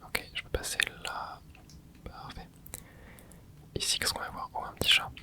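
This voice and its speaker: Whispering man doing gameplay commentary. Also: normal speed. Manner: soft whispering with little interjections while playing; chatty, explains the game to viewers. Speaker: ASMR gaming channel host.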